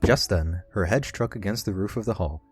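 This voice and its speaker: A man's voice: lowish voice, brisk, breathy edge, soft background music. A man narrating a classic children's story from a script, as in an audiobook.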